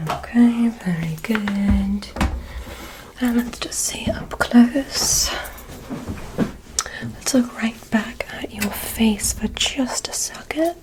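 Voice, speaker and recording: A woman doing a whispered ASMR roleplay as a polite, curious alien examiner, speaking in a gentle, breathy, soothing way. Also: fairly high pitch. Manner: slow, gentle, soothing bedside delivery. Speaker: ASMR performer.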